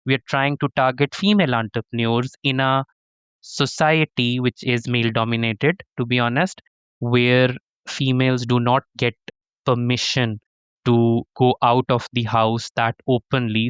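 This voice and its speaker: An earnest man from Pakistan answers interview questions, circling back and restarting as he explains. His voice is very clear and smooth, with little room echo. The recording sounds slightly dull and muffled. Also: mid-range voice, fairly bright tone. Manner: earnest, many restarts and "to be honest". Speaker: professor, interview guest.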